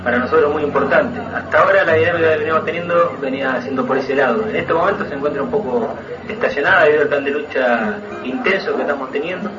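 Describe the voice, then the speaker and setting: A man's voice: on the high side, fast. An Argentine man speaking spontaneously as an activist spokesman, explaining in an interview-like way. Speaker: activist spokesman of a neighbourhood movement. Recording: dull, echoey distant recording with music. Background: music.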